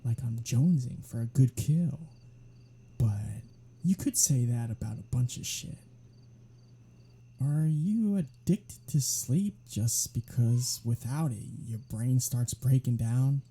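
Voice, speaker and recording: A performer voicing a teasing, unrepentant vampire in an ASMR roleplay audio drama, with a husky, breathy voice and a sardonic, casual manner. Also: medium pitch, expressive, takes it slowly, full character voice. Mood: defensive, wry, then argumentative, sardonic. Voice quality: husky, breathy, dark-toned. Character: teasing, unrepentant vampire predator travelling with human hunter.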